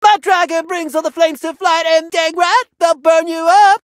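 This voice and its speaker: An actor voicing a brash heavy-metal dragon-riding knight in a video game, with a bombastic, theatrical delivery and drawn-out battle cries. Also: very high-pitched, fairly even intonation, dry recording, clean studio sound. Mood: fierce, fired up.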